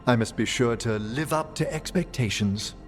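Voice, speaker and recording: An actor playing a courteous, scholarly older necromancer in a video game, with a formal, precise, theatrical manner. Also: male voice, medium pitch, moderate pace, close to an everyday voice. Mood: warmly polite. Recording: music behind the voice.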